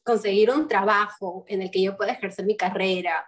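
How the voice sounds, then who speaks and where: A woman from Peru in her twenties, telling her story in an animated, chatty way during an interview. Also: medium pitch, fairly quick, slight background noise. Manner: animated, storytelling with quoted voices. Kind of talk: casual interview chat.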